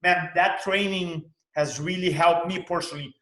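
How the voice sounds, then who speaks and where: A man's voice: high voice, steady tone, a bit bright, echoey room. A man from Brazil with a Brazilian Portuguese accent, speaking English as his third language in a casual interview, often restarting his phrases. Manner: halting, restarts phrases, then bursts of fast talk. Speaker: guest speaker coach.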